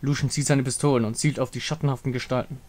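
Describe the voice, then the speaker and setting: A man's voice: mid-range voice, steady tone, fast talker, a little mellow. A man giving scripted dramatic narration, reading out a fantasy game story.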